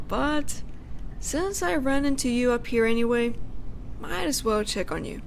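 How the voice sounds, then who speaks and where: A woman acting as a teenage tough girl from an audio drama, talking casually and gently to one listener. Her pitch moves in lively swings as she teases, then pauses thoughtfully. There is clear ambient noise behind her. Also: heavily acted. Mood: casual concern. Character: teenage delinquent schoolgirl, teasing but softly caring tough girl. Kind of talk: slow intimate audio roleplay addressed to the listener.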